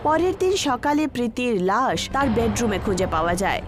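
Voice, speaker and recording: Lady narrator for a scary cartoon story, high voice, loud music under her. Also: sing-song, normal speed. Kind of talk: scripted horror story narration.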